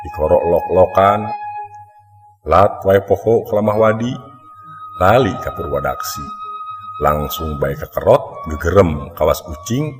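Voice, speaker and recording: A man with a Sundanese accent narrating a mystery folk tale in a dramatic storytelling style. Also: low voice. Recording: long echoing reverb, music underneath. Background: background music.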